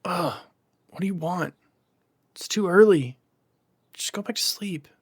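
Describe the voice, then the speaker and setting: A man playing a groggy, baffled young housemate with a husky voice, in naturalistic audio drama. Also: high-pitched, a little slow, full character voice. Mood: groggy, annoyed.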